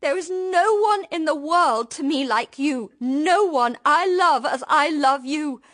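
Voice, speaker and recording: A woman's voice: high voice, lively intonation, a little slow, fairly bright tone. An actress playing an ardent, devoted young British woman in love, in an intense, earnest radio drama style. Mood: desperate, pleading. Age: young adult.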